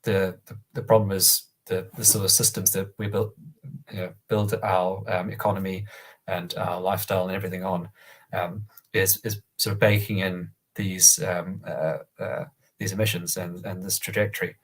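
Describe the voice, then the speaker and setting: A man with a cold, sounding croaky, giving a hesitant online presentation full of ums and restarts over a video call. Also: low-pitched, level tone, normal speed.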